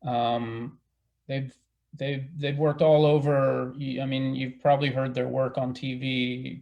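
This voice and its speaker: Man, theater director on a discussion panel. Gravelly fry, unhurried, halting delivery. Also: mid-range voice, a little mellow. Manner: halting, thinking aloud.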